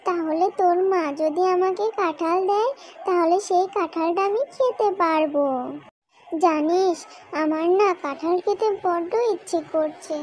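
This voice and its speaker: An eager little fox girl from a children's cartoon, voiced by a woman. Her voice is extremely high and childlike. She pleads and wheedles, repeating her requests. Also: steady tone, unhurried, a little mellow, a little hiss or hum. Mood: eager, wistful. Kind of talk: children's cartoon story voice acting.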